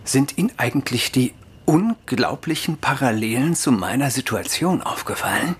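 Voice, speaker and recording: Old exiled German emperor, pompous, excitable, embittered, very animated pitch, radio drama. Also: male voice, normal speed, slightly rough. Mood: hesitant, probing. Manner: theatrical, pompous, swinging between whisper and outburst.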